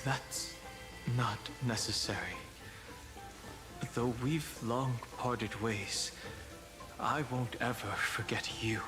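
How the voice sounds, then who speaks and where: A man's voice: mid-range voice, natural voice, rough recording. Stoic young warrior, voiced by a man, over music. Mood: reserved. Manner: serious, measured, terse. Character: stoic, reserved young male warrior companion. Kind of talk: scripted video game drama.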